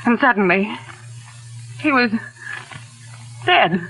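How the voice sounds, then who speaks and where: A woman's voice: on the high side, expressive, takes it slowly, fairly bright tone, full character voice, poor audio. An actress playing an anguished, sophisticated mother in a theatrical, intense 1940s radio drama style. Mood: distraught. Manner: theatrical, intense, earnest delivery. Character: anguished, sophisticated society mother confessing to a shooting. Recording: old 1940s radio recording.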